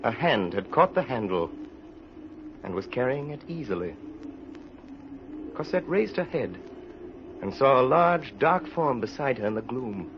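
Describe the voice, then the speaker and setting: A man's voice: medium pitch, sing-song. A man narrating an old radio drama as a grave, suspenseful storyteller, intense and theatrical. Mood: hushed relief. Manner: intense, theatrical, deliberate phrasing. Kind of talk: dramatic scripted radio narration, 1930s-40s. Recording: dull, noisy old radio recording.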